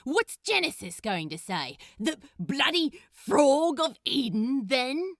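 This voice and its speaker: A woman voicing a sarcastic, easily indignant male demon in a story, grumbling and exclaiming with a creaky voice. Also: high voice, very animated, slow pace, no echo, studio quality, voice pushed up, heavily acted.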